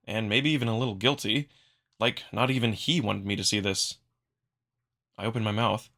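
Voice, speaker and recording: Bright-voiced man narrating dramatically. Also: medium pitch. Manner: expressive, acting out the narrator's feelings. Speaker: narrator, amateur voice performer. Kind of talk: dramatic first-person visual novel narration.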